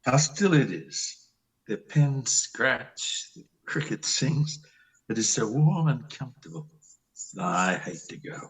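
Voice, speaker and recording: A man playing a restless, wistful middle-aged country doctor in an amateur play reading, husky and theatrical. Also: medium pitch, very animated, slow, full character voice. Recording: slightly distant microphone.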